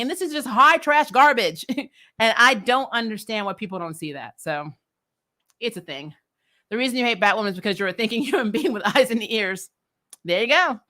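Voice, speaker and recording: A woman hosting a recap vlog, chatting casually and answering comments with strong opinions, in an emphatic, blunt, animated manner that includes swearing. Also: on the high side. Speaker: host of a TV show recap vlog.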